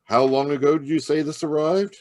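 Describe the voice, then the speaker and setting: A man's voice: fairly high pitch, steady tone, fairly quick, a little mellow, no room sound, lightly acted. A man playing a firm, shrewd police inspector in old-time radio mystery style. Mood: focused. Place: live video call at home. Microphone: video call.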